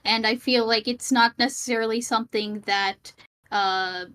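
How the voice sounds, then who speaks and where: A woman's voice: fairly high pitch, fairly even intonation, normal speed, a bit bright. A woman from Sri Lanka, a streamer, talking over a voice call in a casual debate, hesitant, with many fillers and restarts.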